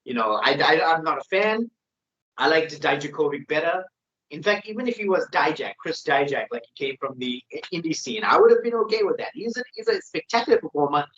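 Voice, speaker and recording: A man's voice: fairly high pitch, lively intonation, fairly quick, a bit bright. A man co-hosting a wrestling predictions podcast in casual, opinionated chat. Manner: opinionated, ranting. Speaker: podcast co-host. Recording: dull lo-fi sound.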